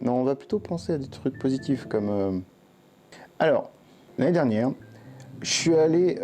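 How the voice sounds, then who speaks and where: A man's voice: medium pitch, dark tone. A young adult man, telling a personal anecdote to camera in casual, slangy vlog talk with some swearing. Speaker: YouTuber. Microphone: face camera.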